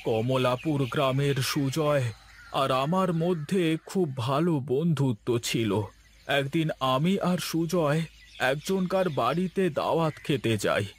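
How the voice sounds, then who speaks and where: A man voicing an anxious, earnest village husband in a Bengali cartoon, with a dramatic drawn-out delivery and faint noise behind him. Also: a little high, animated. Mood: grave confession. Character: anxious village husband burdened by a guilty past.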